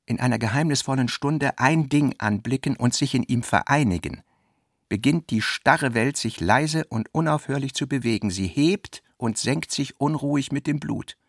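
A man reading scripted literary narration for a radio production, with a creaky voice in a measured, reflective manner. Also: mid-range voice, dry recording, studio quality.

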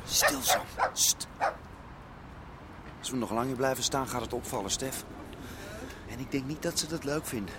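Actor as jumpy, animated, agitated activist. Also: male voice, mid-range voice, moderate pace, long pauses, heavy background noise, lightly acted. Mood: hushed, nervous. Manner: agitated, impatient. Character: nervy, cautious, irritable male squatter activist. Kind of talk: naturalistic radio drama dialogue.

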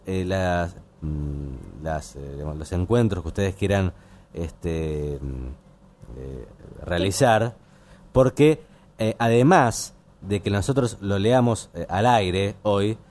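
A man with a Buenos Aires Argentine accent hosting casual late-night radio, explaining things in a halting, rambling way. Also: on the low side, dark tone. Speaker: radio host.

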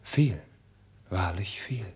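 An actor playing a sensitive, impassioned young prince in a husky, breathy voice, with theatrical 1950s radio drama delivery. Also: male voice, deep voice, expressive, takes it slowly, exaggerated voice. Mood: guarded, hushed. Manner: theatrical, swinging between hushed and fervent. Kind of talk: classical German radio drama acting, 1950s.

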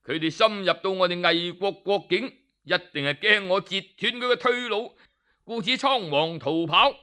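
A man's voice: high-pitched, normal speed, sharp tone, clear, resonant tone. A man voicing a proud, boastful male general in a traditional storytelling recording, speaking in a blustering, self-assured way. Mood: gloating.

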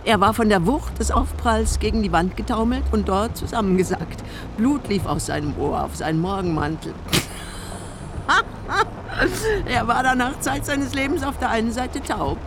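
A woman's voice: fairly high pitch, animated, measured pace. An actress playing a blunt, sardonic woman in her eighties, an eccentric wealthy mother, in radio play dialogue. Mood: darkly amused. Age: 80s. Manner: blunt, capricious, mocking, darkly amused. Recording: noisy moving car ambience.

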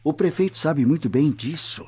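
A man playing a worried, dignified old Roman grandfather in a radio drama, with a husky voice. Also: a little high, expressive, normal speed, dark tone. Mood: worried, earnest. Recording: dull lo-fi audio.

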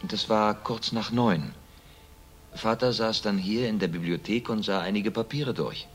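An actor playing a reserved, well-off grown son of a murdered man in a radio crime drama, speaking in a measured, matter-of-fact, subdued way. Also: on the low side, faint music behind. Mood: sober, subdued.

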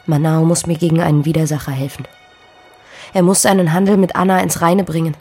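An actress playing a poor young pregnant farm maid in a radio play, fearful yet stubborn, speaking earnestly in formal stage diction. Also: female voice, deep voice, fairly even intonation, brisk, darkish tone, husky, soft background music. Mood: anxious, pleading. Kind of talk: German radio play acting.

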